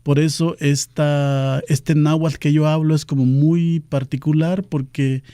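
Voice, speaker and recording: Mellow-voiced man, native Nahuatl speaker. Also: on the high side, flat delivery, smooth, dry recording. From Pajapan, southern Veracruz, Mexico. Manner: explanatory, measured, with "este" fillers. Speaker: guest, cultural outreach official. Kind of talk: radio interview.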